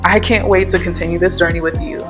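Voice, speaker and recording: A young woman speaks softly and earnestly, telling her own story in a gentle, reflective way. Her voice sits a little low for a woman. Faint music plays beneath her. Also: steady tone, quick pace. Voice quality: soft-spoken. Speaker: podcast host. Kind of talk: personal storytelling monologue.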